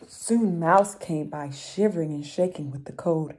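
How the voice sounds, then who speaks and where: A woman's voice: low voice, measured pace, darkish tone, breathy edge. A young adult woman reading a picture book aloud to children, expressively, with chatty explanatory asides. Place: room at home, indoors.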